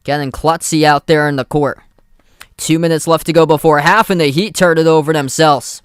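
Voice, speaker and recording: A man's voice: fairly high pitch, moderate pace, clean tone, no room sound, studio quality. Boston-accented man calling a game. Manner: steady running commentary in short clipped phrases. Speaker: live play-by-play streamer. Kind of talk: amateur play-by-play commentary.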